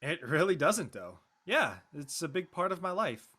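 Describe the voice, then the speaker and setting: Man acting bright, high-voiced teenage boy. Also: very animated, moderate pace, breathy edge, exaggerated voice. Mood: thoughtful. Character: easygoing Korean-American teenage boy, thoughtful, wry.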